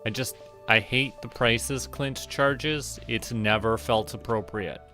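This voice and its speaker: A man giving casual gameplay commentary, rambling and relaxed as he talks to himself. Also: mid-range voice, slow, faint music behind. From Canada. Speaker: streamer.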